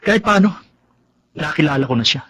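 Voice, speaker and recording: Stern accusing filipino little brother, raspy, kinda high voice, radio drama. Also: male voice, lively intonation, a little fast, fairly bright tone. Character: indignant, accusing younger brother and boyfriend.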